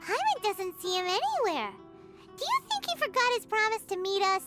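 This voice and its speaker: A woman voicing a small, excitable, childlike fairy girl with a squeaky voice in a video game. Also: moderate pace. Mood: puzzled, startled. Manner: expressive, speaks of herself in third person. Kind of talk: video game character acting. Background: game music.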